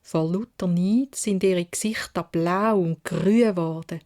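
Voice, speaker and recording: A woman with a Swiss German accent narrating a children's fairy tale in a measured, expressive way. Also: lowish voice. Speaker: storyteller.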